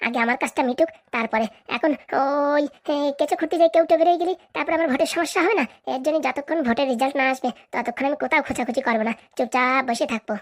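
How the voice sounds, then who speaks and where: A woman's voice: high-pitched, rapid-fire, hardly any pauses, natural voice. A woman voicing a bossy, scheming village housewife in a comic cartoon, with brash, scolding, rustic speech. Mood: scheming, wary.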